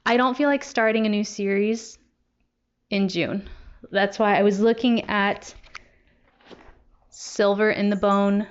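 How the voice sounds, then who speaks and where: A woman's voice: mid-range voice, steady tone, slow pace, long pauses, clean tone, lo-fi sound. A young adult woman talking casually to viewers as a book vlogger. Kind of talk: casual chat to camera.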